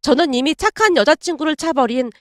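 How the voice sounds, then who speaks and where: High-pitched, animated woman story narrator. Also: fairly quick, dry recording, studio quality. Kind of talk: scripted dramatic first-person story narration.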